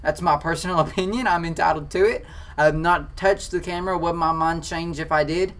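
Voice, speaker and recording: A sixteen-year-old boy from Kentucky with a country accent, chatting excitedly as he answers viewer questions from his home studio. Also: a little high, brisk. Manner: excitable, chatty, defensive then joking. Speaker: photography channel host. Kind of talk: casual Q&A reading comments.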